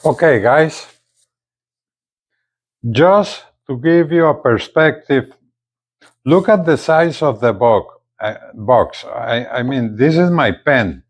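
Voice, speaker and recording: A man presenting a casual unboxing video, speaking haltingly and often repeating his words. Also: medium pitch, expressive. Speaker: gadget reviewer, video host.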